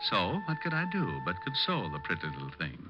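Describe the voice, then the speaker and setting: A wisecracking American private detective in a 1940s radio drama. He has a fairly low voice and delivers wry, slang-filled patter, with music behind him. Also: wildly swooping pitch, fast, highs cut off. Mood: wry narrating. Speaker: lead actor and narrator.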